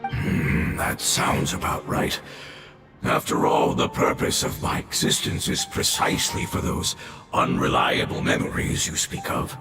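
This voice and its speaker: A man voicing an ancient dying creature in a video game, weary, solemn and devoted, with formal, reverent speech and a gravelly growl. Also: measured pace, roomy sound, distant mic, natural voice. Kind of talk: scripted video game voice acting. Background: game music.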